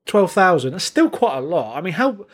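A man's voice: sits high, very animated, moderate pace, slightly rough. A man with a Buckinghamshire countryside accent chatting on a podcast, often repeating words as he gathers his thoughts and reacting with enthusiasm. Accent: Buckinghamshire, English countryside. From Buckinghamshire area, England. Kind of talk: casual podcast chat.

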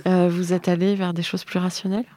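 A woman's voice: fairly low pitch, little pitch movement, fairly quick, warm tone. A woman interviewer asking casual, conversational questions.

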